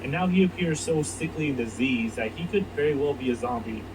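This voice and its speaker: Male narrator, medium pitch, scripted countdown read, distant playback with clear noise. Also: brisk. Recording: distant, noisy playback of a video.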